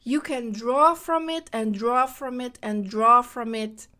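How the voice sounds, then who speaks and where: High-voiced woman, animated monologue. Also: takes it slowly. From Netherlands. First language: Dutch. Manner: animated, repeats phrases for emphasis. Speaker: podcast host. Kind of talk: casual spiritual monologue.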